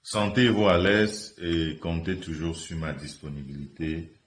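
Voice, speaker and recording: A man gives a formal thank-you speech as a local leader, solemn and measured, pausing on fillers. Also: low voice, roomy sound, a little hiss or hum.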